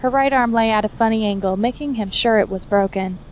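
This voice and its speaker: A woman's voice: a little high, fairly quick. Woman narrating a story, faint background noise. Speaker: fan fiction narrator.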